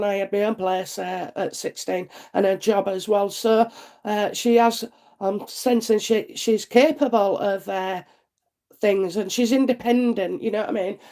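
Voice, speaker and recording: A woman's voice: a little high, warm tone. A woman with a congested head cold, chatting on a live stream as a psychic reader and answering viewer comments in a homely way. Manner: chatty and homely, many "uh" fillers, asks "isn't it?".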